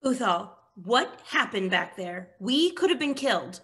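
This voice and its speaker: A woman playing a hot-headed, treasure-hungry warrior, brash and excitable, in an animated fantasy table read. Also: medium pitch, a little slow, full character voice. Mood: angry.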